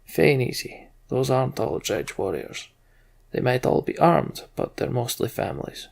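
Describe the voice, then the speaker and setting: A man voicing a grim, centuries-old giant warrior from a fantasy game, bitter and blunt, with a gravelly, husky voice. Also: mid-range voice, big pitch swings, measured pace, a little mellow, heavily acted.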